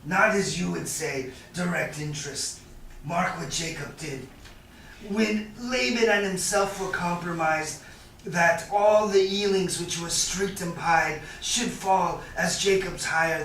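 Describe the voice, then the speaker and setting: A man acting a bitter, sly moneylender in a Shakespearean staged reading, with a hesitant, drawn-out, chuckling delivery. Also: on the high side, fairly bright tone. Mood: sly, amused. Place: live staged reading in a reverberant room. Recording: echoey room, distant mic, faint noise.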